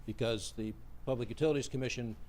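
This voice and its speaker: A man's voice: mid-range voice, steady tone, quick pace, a little mellow. A man presenting a report at a public meeting and explaining things informally. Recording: faint background noise in meeting room.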